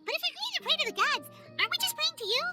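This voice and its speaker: An actress voicing a tiny, excitable fairy girl companion in a video game, chatty and childlike with a squeaky voice. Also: racing, over loud music. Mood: excited, teasing. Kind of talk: animated video game dialogue.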